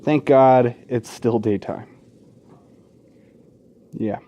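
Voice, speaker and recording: Slow-paced, sweary male let's player. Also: medium pitch, highs cut off. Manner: sweary, informal, frequent asides. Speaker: let's play host. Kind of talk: casual gaming commentary mixed with reading game text aloud.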